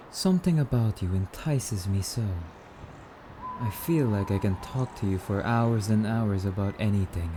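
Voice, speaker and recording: A man's voice: lowish voice, background noise. A man playing a courtly, wistful male vampire in an ASMR roleplay, speaking in a musing, slightly archaic, haughty yet polite manner. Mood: reflective, intrigued. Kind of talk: ASMR roleplay monologue addressed to listener.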